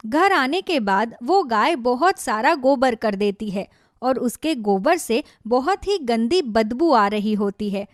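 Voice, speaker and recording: High-pitched woman narrating a cartoon story. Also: expressive, takes it slowly, dry recording, clean studio sound.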